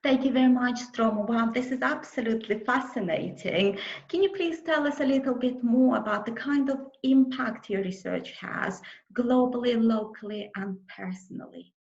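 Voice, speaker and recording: A woman's voice: fairly high pitch, normal speed, creaky, a little mellow. A woman host interviewing a guest, asking polite, enthusiastic questions. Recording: dull lo-fi sound.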